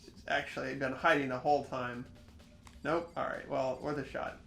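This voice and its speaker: A young man hosting a game playthrough, switching between expressive scripted narration and casual commentary. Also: medium pitch, moderate pace, vocal fry. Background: soft game music.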